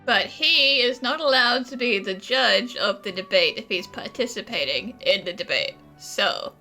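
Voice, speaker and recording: A woman's voice: medium pitch, lively intonation, unhurried, bright, forceful tone, faint music behind. A woman playing a plucky, supportive young traveler, direct and confident, in a video game.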